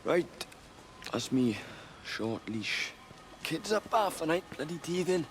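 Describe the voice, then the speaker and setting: A man's voice: on the high side, sing-song, slow, slight background noise. An actor playing a blunt, irritable young working-class father in a naturalistic video game drama. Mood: relaxed, matey.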